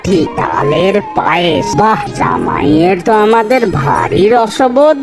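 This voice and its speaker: A woman's voice: medium pitch, huge pitch swings, a little slow, vocal fry, exaggerated voice. A woman voicing a genial, courteous middle-aged father-in-law in a cartoon, polite and conciliatory, with a West Bengali accent. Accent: West Bengali (Ghoti). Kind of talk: cartoon dialogue. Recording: background music throughout.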